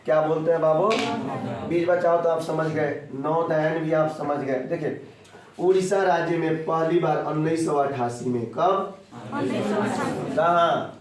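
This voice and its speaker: A man's voice: on the high side, darkish tone. A man with a sore throat, teaching school children in a didactic way, repeating points and quizzing them. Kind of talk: classroom geography lesson for school children. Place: echoey room, teaching in person. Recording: very echoey room, distant mic.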